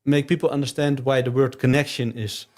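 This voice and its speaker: A man's voice: medium pitch, little pitch movement, fairly quick, darkish tone. A man hosting an interview, prompting questions and often saying "yeah". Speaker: interview host. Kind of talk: conducting an interview.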